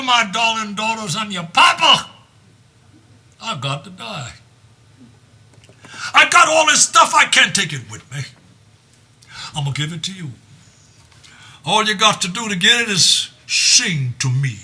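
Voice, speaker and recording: Man putting on an old king, husky, forceful, high and wailing, soulful chant. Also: big pitch swings, moderate pace, full character voice. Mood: coaxing. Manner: soulful, chanting rhymes, bursts into shouts. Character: aging male king, dramatic and fading.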